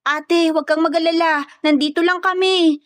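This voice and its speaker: A woman voicing a caring, excitable younger sister who pleads anxiously in a dramatic story. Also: very high voice, normal speed, no room sound, clean studio sound, voice pushed up. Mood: comforting.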